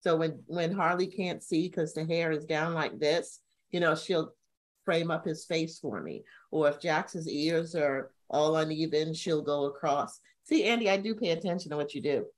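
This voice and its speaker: Casting note: middle-aged woman, lowish voice, lively storyteller who jumps up high for emphasis, webcam from a home room. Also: normal speed. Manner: animated storytelling with sudden high-pitched emphasis. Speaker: co-host. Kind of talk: casual video-call chat. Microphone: video call webcam.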